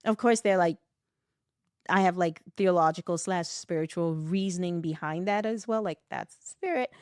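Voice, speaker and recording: Animated middle-aged woman telling stories. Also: lowish voice, moderate pace, clean tone, no room sound, studio quality. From West Africa. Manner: animated storytelling, laughs often, acts out quoted voices. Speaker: podcast guest. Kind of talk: reflective conversation.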